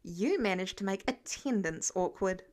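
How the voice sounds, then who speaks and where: A woman's voice: medium pitch, expressive, moderate pace, a little mellow, close to an everyday voice. A woman voicing a snide teenage boy who taunts in a mocking way, as in an audiobook. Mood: snickering mockery. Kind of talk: audiobook character dialogue.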